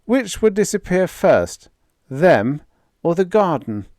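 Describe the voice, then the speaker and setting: A man voicing a desperate starship crew leader who shouts and moans under strain in a science fiction story reading. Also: sits high, very animated, takes it slowly, dry recording, clean studio sound, voice pushed up, exaggerated voice. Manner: urgent, shouting and moaning.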